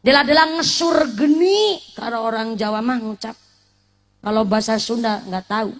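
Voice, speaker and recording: A woman's voice: a little high, normal speed. A woman in her late twenties giving a humorous sermon, teasing the congregation with questions and mixing in regional words. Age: late 20s. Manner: lively, teasing, asks the audience questions, mixes in Javanese and Sundanese words. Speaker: preacher.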